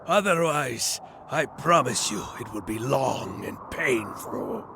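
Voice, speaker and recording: An actor playing a menacing military man in a mythological video game, deliberate and dramatic. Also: mid-range voice, expressive, gravelly, background noise. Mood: threatening.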